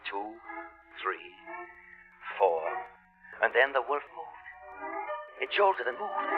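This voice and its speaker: An actor playing a grave submarine officer who narrates a horror story in a 1950s radio drama. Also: male voice, medium pitch. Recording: dull old radio recording.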